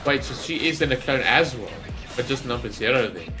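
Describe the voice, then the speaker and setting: A man with an average-pitched voice gives casual reaction commentary as a gaming video host. He rambles and thinks aloud, often tagging a quick check onto his sentences. Music with guitar plays behind him. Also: expressive.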